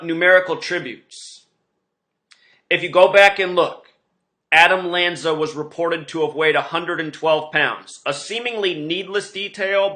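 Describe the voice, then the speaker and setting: A man's voice: fairly high pitch, sharp tone. A man hosting an online show, speaking in a rambling, insistent monologue with emphatic delivery. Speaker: online video host.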